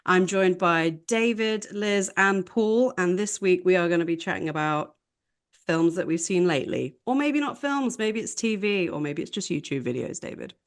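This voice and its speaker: A young woman hosting a casual podcast chat, talking in a flat, low-sounding way and laughing often. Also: normal speed. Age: young adult. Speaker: podcast host.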